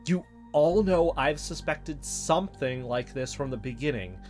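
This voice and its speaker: Wry, conspiratorial mid-pitched voice. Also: animated, a little slow, a little mellow. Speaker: co-investigator in an audio drama. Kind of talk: scripted audio drama dialogue. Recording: music bed underneath.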